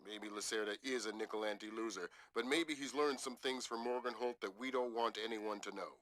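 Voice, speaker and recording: Man as a forceful spy commander. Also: fairly low pitch, brisk, dry recording, studio quality, lightly acted. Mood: authoritative. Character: authoritative male spy commander, stern and wry. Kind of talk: video game mission briefing.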